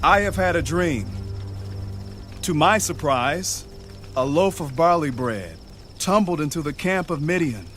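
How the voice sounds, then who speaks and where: An actor playing an awed soldier recounting a strange dream in audio scripture theater, halting and full of wonder. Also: male voice, on the high side, animated, fairly bright tone, soft background music. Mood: awed, uneasy.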